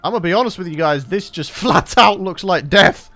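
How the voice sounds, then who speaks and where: Lively, upbeat gaming guy. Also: high voice, brisk, no echo, soft background music. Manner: chatty, upbeat, laughs often, talks to viewers. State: tired voice from late-night recording. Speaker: gaming channel host. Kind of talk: let's play commentary.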